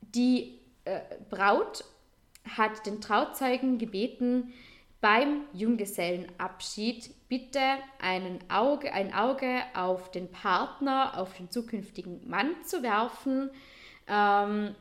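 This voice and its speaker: A woman's voice: mid-range voice, slow pace. Chatty Austrian woman podcaster. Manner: chatty, many fillers and restarts. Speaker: podcast co-host. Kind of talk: casual chat.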